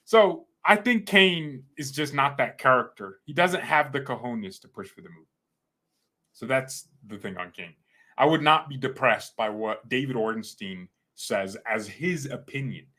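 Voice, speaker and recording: A man hosting a fan channel, chatting casually with his live chat, rambling and joking with sudden loud outbursts. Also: medium pitch, unhurried. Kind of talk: casual livestream chat with viewers.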